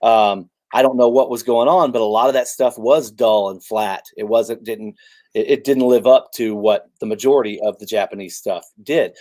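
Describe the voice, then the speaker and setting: A man talking casually as a guest collector, halting and restarting, friendly and agreeable. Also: lowish voice, steady tone, darkish tone. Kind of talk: casual chat about vinyl records.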